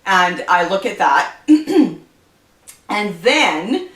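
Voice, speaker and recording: A woman's voice: mid-range voice, big pitch swings, extremely slow, fairly bright tone, reverberant, distant mic. A woman chatting casually about her reading habits on her book channel, stuffed up from allergies and rambling with lots of fillers. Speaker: book vlogger. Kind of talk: casual chat to camera.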